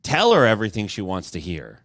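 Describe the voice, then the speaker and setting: A man's voice: lowish voice, huge pitch swings, brisk, fairly bright tone, no room sound, clean studio sound. A middle-aged man co-hosting a podcast in casual banter, joking and putting on voices when he quotes people. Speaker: podcast co-host.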